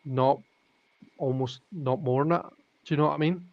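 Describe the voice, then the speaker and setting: A man's voice: a little high, fairly even intonation, normal speed, no echo. Man, tarot stream host, casual and rambling, muffled lo-fi sound, dry. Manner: thinks aloud with many restarts and fillers. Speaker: tarot reader hosting a live stream. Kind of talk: casual live-stream chat. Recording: dull lo-fi audio.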